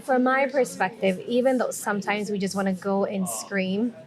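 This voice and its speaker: A woman's voice: medium pitch, normal speed, a crowd in the background. A woman from Mexico with a Mexican accent, a guest in a casual podcast chat, talking things through in a reflective way and often checking in with "right?". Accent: Mexican Spanish. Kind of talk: casual conversation.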